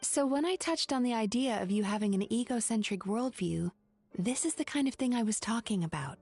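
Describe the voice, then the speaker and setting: A woman playing a composed young dream therapist in a video game, measured, clinical and articulate. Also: on the high side, expressive, darkish tone, faint music behind. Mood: firm, clinical.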